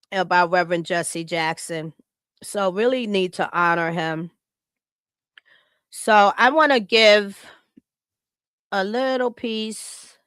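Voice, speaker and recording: A woman's voice: fairly low pitch, a bit bright, clean tone, dry recording, studio quality. An American woman hosting a podcast, giving a casual tribute that is partly read aloud. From Chicago, United States. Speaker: podcast host.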